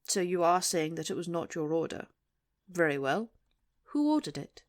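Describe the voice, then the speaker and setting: A woman's voice: fairly low pitch, very animated, moderate pace, no echo. A woman playing a coldly menacing, imperious boss in an audio drama, formal and precise in her speech. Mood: formal, commanding. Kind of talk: audio roleplay acting.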